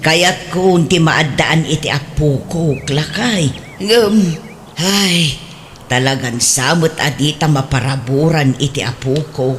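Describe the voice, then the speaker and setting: A woman's voice: low-pitched, slow pace, a little hiss or hum. A woman voicing an older mother in a radio drama who keeps pressing her children, talking in a coaxing, insistent way. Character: older mother, eager and pushy. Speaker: mother longing for grandchildren. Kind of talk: Ilocano radio drama acting.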